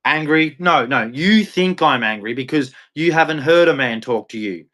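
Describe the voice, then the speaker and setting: Australian man, fairly high voice, blunt, confrontational, ranting to chat. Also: lively intonation, moderate pace, fairly bright tone. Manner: preachy religious sign-off. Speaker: live streamer.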